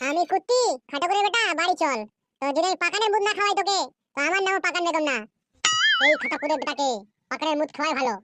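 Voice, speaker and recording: A high-voiced performer playing furious scorned wives in a Bengali comedy cartoon, ranting and scolding in a shrill, pitched-up childlike voice. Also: rapid-fire, very noisy. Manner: scolding, foul-mouthed, ranting.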